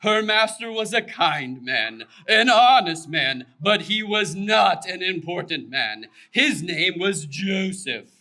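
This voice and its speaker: A man voicing a pompous donkey puppet who tells stories to children in a deliberate, theatrical manner. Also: sits high, lively intonation, sharp tone, heavily acted. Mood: storytelling. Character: grandiose weary old-fashioned gentleman donkey storyteller puppet. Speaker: puppet show storyteller.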